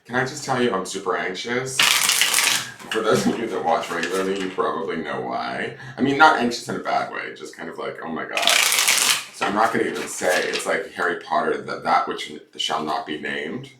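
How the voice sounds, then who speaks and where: A man with a stuffed-up voice, chatting casually as a tarot reader and rambling excitedly about the cards. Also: low-pitched, normal speed. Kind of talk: casual chat to camera. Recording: echoey room from far off, loud noise.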